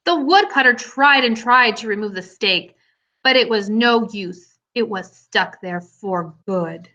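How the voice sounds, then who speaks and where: A woman's voice: on the high side, lively intonation, measured pace, bright, forceful tone. A woman teacher hosting and narrating a scripted storybook tale for children. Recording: dull lo-fi recording.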